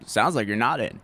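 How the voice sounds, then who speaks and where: A man co-hosting a casual podcast, with a gentle voice and a reflective, somewhat hesitant way of talking. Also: fairly high pitch. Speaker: podcast co-host. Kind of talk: casual chat.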